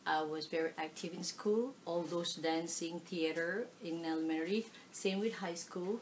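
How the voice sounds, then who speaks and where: A middle-aged woman from the Philippines with a Filipino accent, answering oral history questions in a living room, animated, with many fillers. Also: lowish voice, normal speed, slight background noise, poor audio. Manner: animated, gestures while talking, frequent fillers. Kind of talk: casual oral history interview. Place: living room, seated on a leather sofa.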